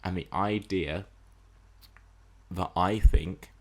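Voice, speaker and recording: A man co-hosting a casual film and TV podcast, building up his points deliberately with rhetorical questions. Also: low-pitched, darkish tone. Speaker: podcast co-host.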